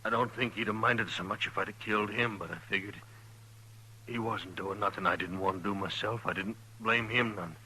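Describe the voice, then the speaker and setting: Dying cowboy gunman, low raspy strained voice, sharp and bitter, old radio western. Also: fairly even intonation, brisk, slight background noise, rough recording. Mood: bitter confession. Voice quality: husky, strained. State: gravely wounded, labored.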